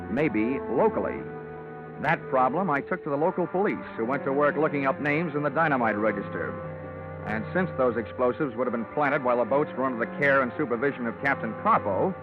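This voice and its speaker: An actor playing a wry, hard-boiled insurance investigator, deadpan and wisecracking, in an old-time radio detective drama. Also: male voice, medium pitch, close to an everyday voice. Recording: tinny vintage radio recording.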